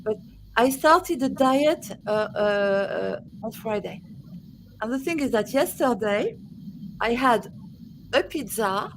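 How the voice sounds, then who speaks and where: Woman, French accent, high voice, hesitant, many fillers, faint hiss. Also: animated, slow pace. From France. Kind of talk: casual group chat.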